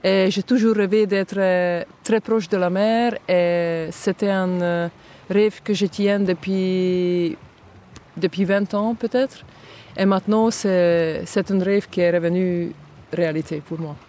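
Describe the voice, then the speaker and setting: A woman's voice: on the low side, steady tone, takes it slowly, dark tone, a little hiss or hum. Woman searching for words. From southern Hungary. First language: Hungarian. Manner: hesitant, with many fillers, searching for words. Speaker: interview guest. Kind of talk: casual interview talk.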